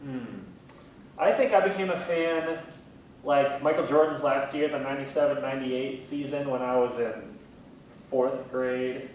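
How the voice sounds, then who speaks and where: A man in his thirties chatting casually in an interview, with a wry, gently self-deprecating way of talking. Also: steady tone, measured pace. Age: 30s. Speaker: museum communications specialist, interviewee. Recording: very echoey room, distant mic, faint rumbling noise.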